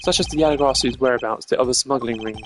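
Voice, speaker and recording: A man's voice: mid-range voice, fast, a little hiss or hum, natural voice. A man voicing a stern, cerebral investigator in a video game, speaking formally and precisely with dry sarcasm. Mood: pensive. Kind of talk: hobby voice acting of a video game. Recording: music behind the voice.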